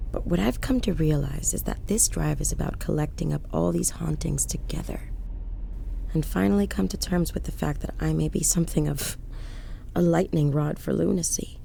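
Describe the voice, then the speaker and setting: A woman's voice: deep voice, gravelly, dark tone, slight background noise. A woman playing a haunted, defiant widow driving alone at night in an audio horror drama, dramatic, brooding and wry. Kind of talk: audio horror drama acting with first-person narration.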